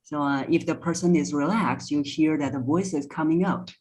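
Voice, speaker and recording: A woman's voice: low voice, normal speed, a little mellow. A woman speaking as a voice coach in a conversational interview, giving instructive advice step by step. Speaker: voice coach, podcast guest.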